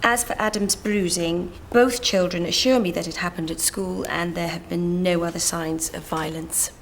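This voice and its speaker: A woman's voice: lowish voice, fairly even intonation, moderate pace, creaky, a little hiss or hum. A woman in a British TV drama reading a careful, formal report on a family to the court. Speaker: children's guardian giving a report.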